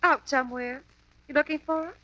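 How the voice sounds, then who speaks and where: A woman's voice: high voice, a little fast, bright, forceful tone. An actress playing a dry, sardonic young woman in a 1950s radio drama. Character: sardonic young woman, a poor country cousin. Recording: dull lo-fi 1950s radio broadcast.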